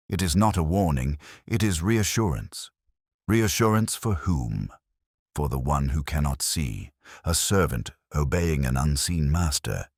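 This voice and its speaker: A man voicing a precise, cool, watchful male detective in an audiobook story, speaking in a measured, analytical way. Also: a bass voice, a little mellow, dry recording, studio quality, full character voice.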